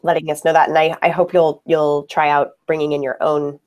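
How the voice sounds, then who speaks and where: A woman from a software team interviewing a user, explaining features patiently and asking friendly questions. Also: deep voice, flat delivery, a little fast.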